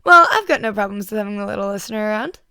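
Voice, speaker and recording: A woman voicing a loud, playful male hero with an exuberant, exclaiming delivery, in an audio fan story. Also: mid-range voice, expressive, clear, resonant tone, no room sound, studio quality. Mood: welcoming. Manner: exuberant, exclaiming, drawn-out words.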